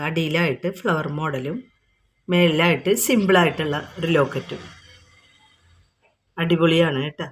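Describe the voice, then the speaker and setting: A woman with a Kerala accent informally showing products item by item, explaining each and repeating prices in an unscripted, repetitive way. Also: low-pitched.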